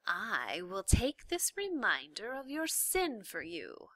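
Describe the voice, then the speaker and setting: Sly hushed rat guy, a woman putting him on, slow whispery drawl, dry close sound. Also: on the low side, animated, dry recording, exaggerated voice. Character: sly, soft-spoken male dungeon rat.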